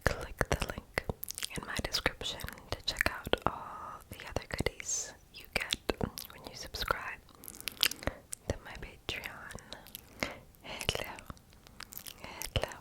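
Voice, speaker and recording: A young woman whispering a casual, rambling ASMR voiceover in a breathy, unvoiced voice, with soft mouth sounds. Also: slow, long pauses, low-quality recording. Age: young adult. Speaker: ASMR video creator and host.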